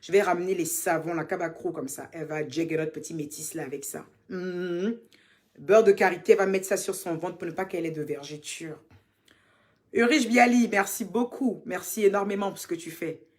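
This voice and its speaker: A woman's voice: lowish voice, fairly quick, a little mellow. Chatty Ivorian woman answering fans. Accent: Ivorian French. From Côte d'Ivoire. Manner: chatty and teasing, calls fans "ma chérie". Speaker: social media personality answering fan questions. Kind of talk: casual live Q&A reading viewer comments.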